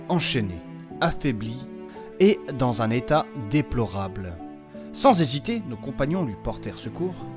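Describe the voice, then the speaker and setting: A male narrator reads a dramatic story recap in a scripted style. His pitch is mid-range, and music plays clearly behind him. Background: clear background music.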